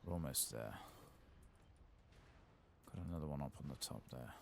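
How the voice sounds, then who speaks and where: A man with a gravelly voice, speaking softly and sometimes whispering in an ASMR game playthrough commentary. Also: normal speed, slight background noise. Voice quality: gravelly, very deep. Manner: soft-spoken, sometimes whispering. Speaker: ASMR gaming streamer.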